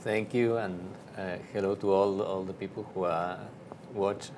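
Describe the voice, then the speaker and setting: Low-voiced Argentine priest, halting speech. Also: male voice, steady tone, background noise. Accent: Argentine Spanish. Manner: halting, many fillers and restarts. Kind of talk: casual interview storytelling.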